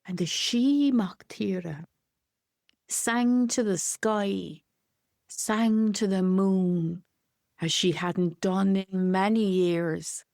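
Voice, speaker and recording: A woman storyteller with a slow, rhythmic, incantatory delivery. Her voice sits at an ordinary pitch and is recorded dry and close. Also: gravelly, a little mellow, no room sound. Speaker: storyteller, co-host. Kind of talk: oral storytelling and conversation.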